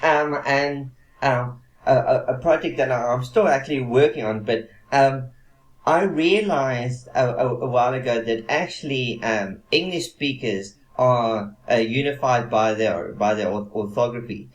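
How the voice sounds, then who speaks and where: A man with a South African accent hosting a casual interview, talking in halting bursts full of restarts and fillers. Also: mid-range voice, reverberant. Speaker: host. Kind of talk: casual video-call interview.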